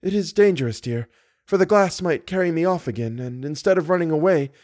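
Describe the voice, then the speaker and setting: Guy doing an anxious young lady singer from a storybook, high voice, breathy drama, pleading and scared. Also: animated, fairly quick, darkish tone, dry recording, studio quality, lightly acted. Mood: earnest.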